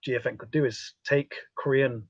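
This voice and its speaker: A man's voice: medium pitch, steady tone, normal speed, husky, dry recording, muffled recording. A man hosting a casual radio panel discussion. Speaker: talk show host.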